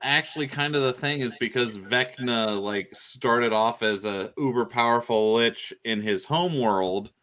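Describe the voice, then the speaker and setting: A man explaining things casually on a podcast, in a halting way with pauses mid-phrase. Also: medium pitch, pushed and bright. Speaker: podcast panelist.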